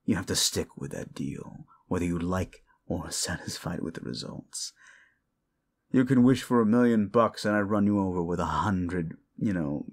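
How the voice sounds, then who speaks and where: A man voicing a condescending, seductive demon deal-maker in an audio roleplay, speaking in a theatrical, mocking drawl. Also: low-pitched. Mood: scornful, exasperated. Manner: slow, condescending, theatrical drawl with amused chuckles. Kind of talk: scripted audio roleplay addressed to the listener.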